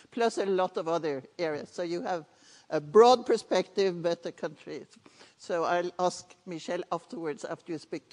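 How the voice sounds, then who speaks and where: A woman with a Norwegian accent moderating a conference panel, asking questions in a measured, hesitant way. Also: deep voice, a little mellow. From Norway. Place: large conference hall. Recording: reverberant conference hall.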